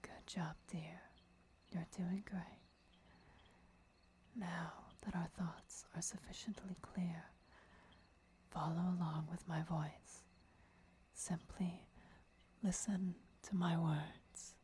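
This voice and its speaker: Girl doing a devoted vampire mentor for asmr, super slow whispery voice, mellow and soothing. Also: lowish voice, level tone, slight background noise. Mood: calm, soothing. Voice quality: breathy, mostly whispered. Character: devoted, gentle female vampire lover and mentor.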